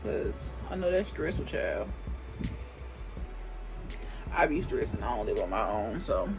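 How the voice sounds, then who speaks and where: A woman's voice: very deep voice, sing-song, measured pace, vocal fry, over music, low-quality recording. A woman in her twenties chatting casually to camera while doing her makeup, rambling and restarting her sentences, with speech. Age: 20s. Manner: rambling, thinks aloud with many restarts and "like". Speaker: beauty vlogger.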